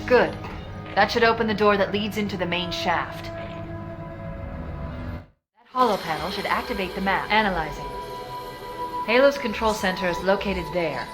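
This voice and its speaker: A woman voicing a confident, wry shipboard artificial intelligence in a video game, brisk and analytical. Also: mid-range voice, natural voice. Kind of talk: video game cutscene acting. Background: music.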